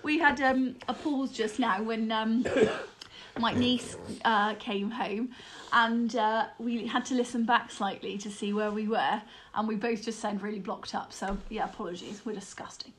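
A woman's voice: a little high, steady tone, a little slow, a little hiss or hum, poor audio. A woman with a blocked-up nose, chatting casually and laughing often while recapping a film. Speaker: podcast co-host.

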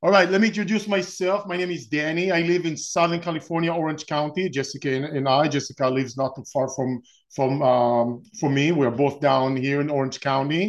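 A man's voice: on the high side, fairly even intonation, fast. A man in his forties from Israel with an Israeli accent, hosting an investing webinar in a rambling, explanatory way with frequent restarts. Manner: many restarts and fillers, explanatory. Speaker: webinar host. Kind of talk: webinar presentation.

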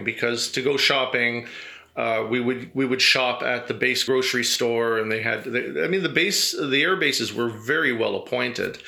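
A middle-aged man who grew up in Germany, relaxed and reminiscing in an interview, telling stories with many fillers and small chuckles. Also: mid-range voice, creaky. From grew up in Germany, Canadian family. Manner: easygoing storyteller with fillers and chuckles. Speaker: interview guest.

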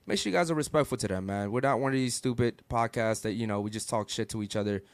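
A man's voice: mid-range voice, quick pace, dry recording. A young man hosting a live podcast from a studio, talking loosely and hyping up his viewers, with "guys" and "okay" all the time. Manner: loose, hype-driven, repeats "guys" and "okay". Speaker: podcast host. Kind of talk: casual live podcast chat. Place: studio in Miami.

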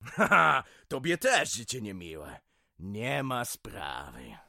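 A man's voice: on the low side, huge pitch swings, slow, gravelly, sharp tone, no room sound, studio quality, heavily acted. An actor playing a drunken, violent middle-aged village man in an audio drama, ranting vulgarly with swearing and threats. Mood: raging.

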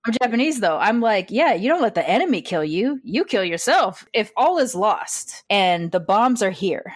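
A woman's voice: medium pitch, very animated, normal speed, no room sound, studio quality. A woman guest on a podcast, speaking frankly in casual chat, telling stories bluntly with the odd swear word.

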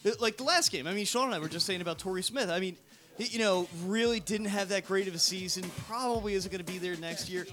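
A man with a high, bright voice talks briskly, offering opinions on players. Faint music plays behind. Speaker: podcast co-host. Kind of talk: casual sports chat.

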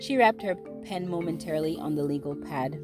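A low-pitched woman hosts her own audio blog, talking casually before settling into a steady reading of her own short story. Her voice sits deep in her range throughout. Music plays clearly behind her. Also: fairly quick. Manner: casual, relaxed intro, then steady storytelling. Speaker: podcast host and author.

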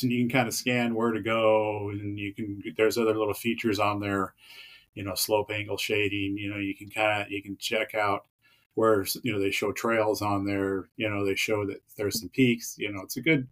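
A man chatting as a podcast guest, rambling with many fillers and restarts. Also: lowish voice. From Pacific Northwest of the US. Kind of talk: casual interview chat.

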